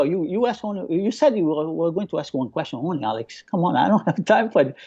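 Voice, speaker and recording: A man's voice: on the high side, warm tone, dull audio. A man with a Brazilian accent touched by Hawaiian speech, a native Portuguese speaker, chatting enthusiastically as an interview guest and often repeating phrases as he tells stories. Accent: Brazilian with a local Hawaiian touch. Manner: enthusiastic, repeats phrases, acts out remembered remarks. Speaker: guest, hobbyist aquarium keeper. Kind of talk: casual live interview storytelling.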